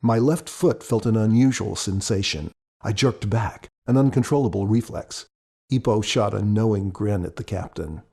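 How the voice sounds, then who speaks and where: A man reading scripted first-person audiobook narration in a measured storytelling manner. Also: lowish voice, vocal fry, warm tone, slightly rough. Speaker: author narrating his audiobook.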